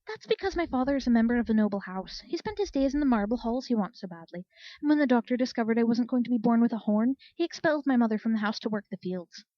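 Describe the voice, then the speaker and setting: A woman voicing a kind, steady young female earth pony in a storybook reading, gentle and earnest. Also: fairly high pitch, fast talker, no echo, lo-fi sound, lightly acted. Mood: earnest, bitter. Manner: soft, earnest.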